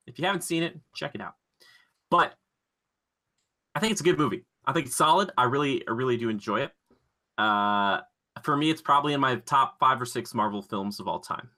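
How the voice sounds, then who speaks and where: A man's voice: mid-range voice, pushed and bright. A man co-hosting a podcast, talking casually and conversationally with enthusiasm about films. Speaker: podcast co-host.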